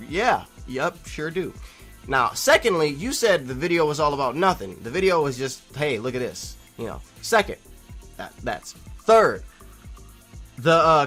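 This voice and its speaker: A man talking as a vlogger in a casual, opinionated reply to viewers, with a halting, rambling and emphatic delivery. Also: medium pitch, a bit bright. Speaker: YouTube vlogger.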